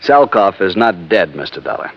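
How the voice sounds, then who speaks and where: An actor playing a polite, businesslike FBI agent in a 1950s radio drama, speaking precisely and formally. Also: male voice, deep voice, big pitch swings, moderate pace. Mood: matter-of-fact. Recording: muffled old radio recording.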